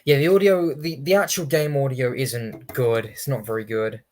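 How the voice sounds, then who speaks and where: A man's voice: mid-range voice, fairly even intonation, normal speed, darkish tone. A young man giving casual, rambling, hesitant commentary on a game livestream. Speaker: game streamer. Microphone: streaming microphone, also picking up game audio. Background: faint game music.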